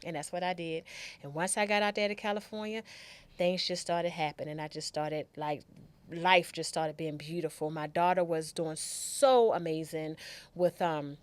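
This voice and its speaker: A woman's voice: low voice, fairly even intonation, moderate pace, clean tone. A middle-aged American woman telling a personal story as a podcast guest, chatting casually, acting out conversations and repeating phrases for emphasis.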